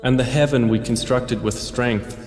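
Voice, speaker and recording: A man's voice: mid-range voice, fairly even intonation, normal speed, a little mellow, soft background music. Solemn man reading a translation, echoey. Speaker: translation reader. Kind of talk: measured scripted reading. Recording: echoey, long hall reverb.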